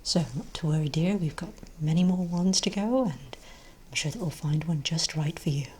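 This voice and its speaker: A woman speaking softly and breathily, often nearly whispering, as a gentle, unhurried, reassuring shopkeeper in an ASMR role play. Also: sits low, a little hiss or hum. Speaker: ASMR role-play performer as wand shop owner. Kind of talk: soft-spoken ASMR role play, improvised sales patter.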